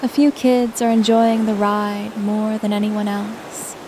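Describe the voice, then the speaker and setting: A woman narrating a scripted guided sleep meditation in a soothing, gentle manner. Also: medium pitch, level tone, slow pace, noisy background.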